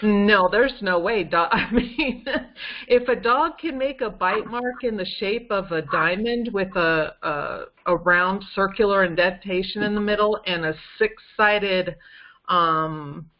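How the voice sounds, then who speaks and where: A woman with a mid-pitched voice speaks conversationally, explaining details with insistence and emphasis. She is on a conference call, and the sound is slightly dull and lo-fi. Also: measured pace. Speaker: guest on a case-discussion call.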